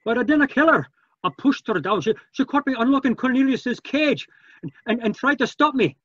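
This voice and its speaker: Scrappy old Scottish man, very high, swooping and raspy, dull radio sound. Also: expressive, moderate pace, a bit bright, dry recording, heavily acted. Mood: frantic protest. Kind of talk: 1940s radio drama acting. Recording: dull, dry radio recording.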